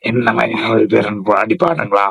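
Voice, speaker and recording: A man with a gravelly, husky voice making a prank call, dodging questions with teasing wisecracks in casual slang. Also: on the low side, animated, brisk, a bit bright, a little hiss or hum. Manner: evasive, wisecracking replies. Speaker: prank caller.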